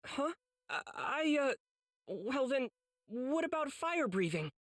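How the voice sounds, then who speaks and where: A woman's voice: sits high, very animated, slow, no room sound, full character voice. An earnest teenage boy hero from an anime game. He speaks politely and eagerly, with a kind heart. The recording is dry and studio-close. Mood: eager, curious.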